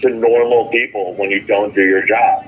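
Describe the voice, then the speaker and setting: Guy calling from jail, kinda low voice, thin phone sound w some noise, halting n fed up. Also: fairly even intonation. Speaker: jailed caller. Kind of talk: casual phone update to a vigil. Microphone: telephone. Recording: tinny noisy phone line.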